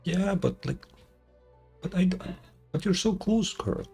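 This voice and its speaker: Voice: mid-range voice, animated, measured pace, creaky, a little mellow. A game streamer giving casual live commentary in an informal, rambling way, often repeating words. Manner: informal, rambling, hesitant repetitions. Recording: game music behind the voice. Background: game music.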